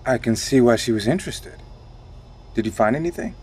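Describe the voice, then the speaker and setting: An actor playing a guarded, dry-witted man in an audio drama, terse, with a gravelly, husky voice. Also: medium pitch, expressive, a little fast, a little mellow.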